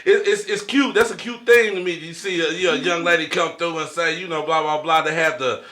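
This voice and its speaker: A man's voice: fairly high pitch, fairly quick, bright, forceful tone. An American man co-hosting a podcast in casual, slangy banter, often tagging sentences with "you know". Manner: slangy, repeats "you know what I'm saying". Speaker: podcast co-host.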